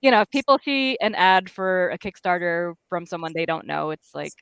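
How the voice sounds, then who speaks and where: A woman's voice: fairly low pitch, animated, moderate pace, creaky, a bit bright, smooth, dry recording, rough recording. A woman chatting informally and wryly as a panelist on a casual show. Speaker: panelist, indie creator. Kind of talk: casual chat.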